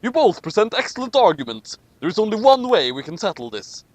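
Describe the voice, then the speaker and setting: A man's voice: high-pitched, very animated, a little fast, no echo, voice pushed up. A man voicing a pompous president who declares grand verdicts in a declamatory manner. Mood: grandly solemn.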